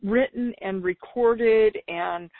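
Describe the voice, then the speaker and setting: A woman with a slightly raspy voice, talking informally and enthusiastically as the solo host of a business podcast. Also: medium pitch, slow, dry recording. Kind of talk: upbeat solo podcast talk. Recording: dull lo-fi radio-like sound.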